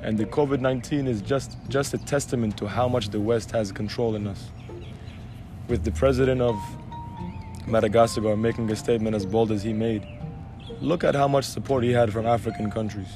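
A man from Algeria, a guest, speaking in an assertive, emphatic, speech-like way. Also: medium pitch. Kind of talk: conversational interview.

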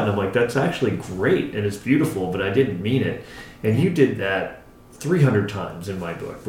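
A man's voice: on the low side, a little hiss or hum. A man co-hosting a casual podcast as a writer, talking in an enthusiastic, rambling, conversational way. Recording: echoey untreated room.

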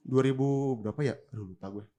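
A man's voice: fairly low pitch, fast talker, mellow. A man with a Jakarta Indonesian accent and a slightly nasal, stuffed-up voice, co-hosting a podcast in slangy, joking, casual chat. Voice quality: congested, slightly nasal from a recent cold. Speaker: podcast co-host.

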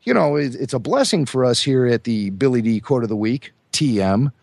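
Hoarse man with a lively voice. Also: medium pitch, normal speed, no echo. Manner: chatty, enthusiastic, fond of trivia. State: hoarse from a cold. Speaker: podcast co-host. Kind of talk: casual chat.

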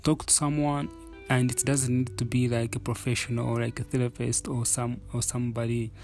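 A man from Uganda talks through his thoughts in a candid, reflective way, stopping and restarting often. His voice is gravelly and sits in an even, flat band, with faint music playing underneath. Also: mid-range voice, a little fast. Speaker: podcast host. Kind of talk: casual solo podcast talk.

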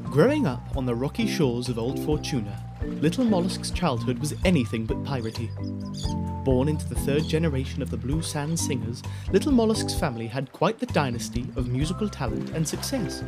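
A man narrating an audio drama like a wry storybook teller, measured and theatrical. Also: mid-range voice, animated, natural voice. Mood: storytelling. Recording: very loud music bed behind.

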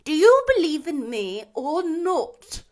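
A woman voicing a mournful male ghost bound in chains, booming and drawn out in a storybook reading. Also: very animated, full character voice. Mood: wailing, mournful. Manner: loud, drawn-out, lamenting delivery.

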